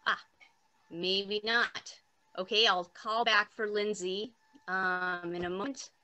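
A woman moderating an online panel, introducing speakers with brisk, friendly remarks over a video call. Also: medium pitch, steady tone, sharp tone.

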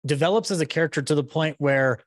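A man in his thirties chatting casually as a podcaster, rambling and halting as he finds his words. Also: on the high side, no echo, clean studio sound. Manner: rambling, halting, says "like" often. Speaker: podcaster interviewer. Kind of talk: casual fan discussion. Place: small indoor room, video call. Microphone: boom-arm microphone with headset.

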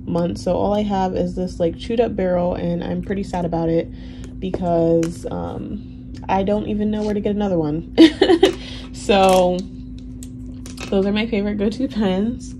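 A young woman in her twenties whose first language is Haitian Creole, chatting casually in a relaxed, rambling way full of ums and likes. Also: mid-range voice, a little slow, music in the background. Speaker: planner vlogger. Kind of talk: casual Q&A chat over a plan-with-me. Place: indoors at a desk. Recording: audible background noise.

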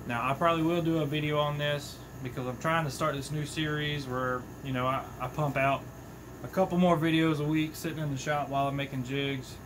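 A man in his thirties talks fishing tips in a relaxed, folksy way, his voice sitting a little high. He is recorded close to the camera in a small workshop. A steady air conditioner hum runs clearly under his voice. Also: brisk. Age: 30s. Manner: chatty, folksy, animated with hand gestures. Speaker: fishing channel host. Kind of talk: casual talking-head tips.

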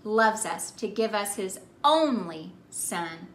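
Woman reading as a reverent, expressive father. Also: medium pitch, slow, roomy sound, pitched below the performer's own voice. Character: devout father earnestly teaching his young son.

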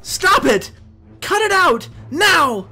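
A woman voicing a small, earnest teenage schoolboy in an anime fandub, excitable in manner. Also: very high-pitched, takes it slowly, heavily acted. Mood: distressed pleading.

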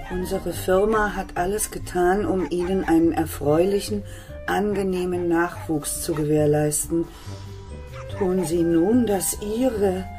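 An actress playing an eccentric, warm-hearted, scatterbrained mother in a children's radio play, exclamatory and doting. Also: lowish voice.

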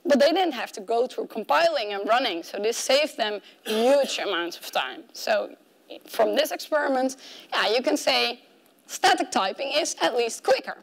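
A high, animated female voice from the front of a tech conference room, walking the audience through studies in a conversational way. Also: moderate pace, creaky. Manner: animated, conversational, acts out imagined objections. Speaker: conference keynote speaker. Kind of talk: conference talk explaining research. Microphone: clip-on mic at the collar.

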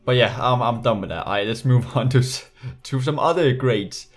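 A man's voice: medium pitch, moderate pace. A young man from Denmark with a slight Danish accent, reading comments aloud in his bedroom with sarcastic, laughing asides and lots of restarts. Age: young adult. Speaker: YouTuber. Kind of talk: casual vlog reading comments. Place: small bedroom, indoors. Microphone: USB desk mic.

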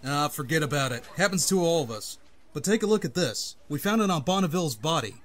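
Man as a wry cop, fairly high-voiced. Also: animated, fast. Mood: casual. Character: streetwise, sardonic male police officer. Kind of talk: comic-book audio drama acting. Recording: loud music under the voice.

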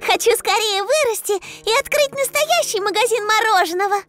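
A woman's voice: very high voice, expressive, normal speed, a bit bright, soft background music. A woman voicing a bubbly, eager five-year-old girl in a children's cartoon, cute and enthusiastic. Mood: wishful, coaxing. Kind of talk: children's animation dub.

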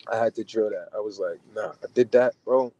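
A man's voice: fairly low pitch, a little fast, highs cut off. Laid-back guy on a video call from his car. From New Jersey. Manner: relaxed slangy talk, trailing off into fillers. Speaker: guest. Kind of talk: casual chat. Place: car interior. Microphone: phone camera at arm's length on video call.

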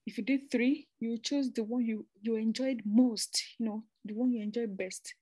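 A young woman from Nigeria talks through her slides like she's chatting with a friend, restarting sentences and tossing in asides. Her voice sits a little high, and the recording is close and dry, with no room sound. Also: steady tone, normal speed, warm tone, no room sound. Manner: chatty, repeats and restarts phrases, conversational asides. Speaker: presenter explaining a master's program. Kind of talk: informal slide presentation.

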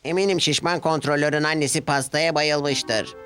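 Guy doing a happy little train engine for kids, highish smooth voice, encouraging. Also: steady tone, normal speed, fairly bright tone, faint music behind, lightly acted. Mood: cheerful, reassuring. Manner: cheerful, encouraging. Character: cheerful, kindly little male steam engine friend. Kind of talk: children's cartoon character voice.

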